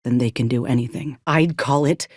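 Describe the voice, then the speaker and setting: A woman's voice: rumbling low, moderate pace, a little mellow, dry recording, clean studio sound. An actress playing a principled, wounded woman soldier in a video game, weary yet fiercely indignant, speaking earnestly in folksy, old-fashioned frontier phrasing. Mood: shaken, grieving. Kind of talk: dramatic video game acting.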